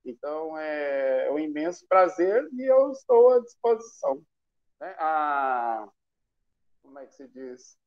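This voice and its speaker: Man, 50s, teacher, higher voice, muffled video call, friendly and joking. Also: sing-song, a little slow. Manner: affable, self-deprecating humor. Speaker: high school chemistry teacher. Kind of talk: casual talk to students. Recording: dull lo-fi call audio.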